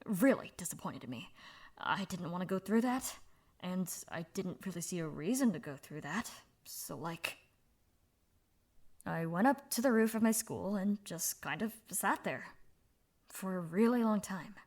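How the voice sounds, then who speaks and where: A woman voicing a young woman speaking softly and haltingly about her past, in a fan audio drama. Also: lowish voice, lively intonation. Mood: somber. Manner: soft, halting, confessional. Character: young woman, subdued, candid.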